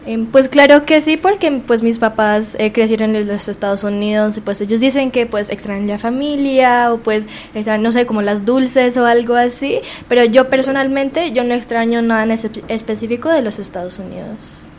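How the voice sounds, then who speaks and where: A woman's voice: a little high, moderate pace, few pauses, a little mellow, a little hiss or hum. A teenage girl born in the United States and raised in Colombia, speaking hesitantly with many fillers as a student radio guest. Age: teens.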